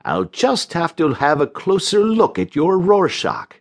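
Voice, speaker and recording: A man voicing a calm, unctuous division chief doctor in a storybook reading, soothing and patronizing. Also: a little high, pitched above the performer's own voice, full character voice. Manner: soothing, oily, patronizing, drawn-out questioning hmms. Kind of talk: audiobook character voice in a comic story.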